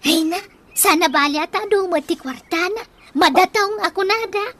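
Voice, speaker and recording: Very high-pitched whining Filipino wife played by a woman. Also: normal speed, a bit bright, no room sound. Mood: scheming, coaxing. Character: pleading, scheming debt-ridden wife and mother. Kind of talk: comedy radio drama acting.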